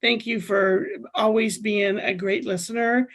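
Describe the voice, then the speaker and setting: A woman's voice: mid-range voice, unhurried. Woman co-host announcing rebroadcasts. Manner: relaxed, friendly announcements with fillers. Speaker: podcast co-host. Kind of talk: casual show announcement.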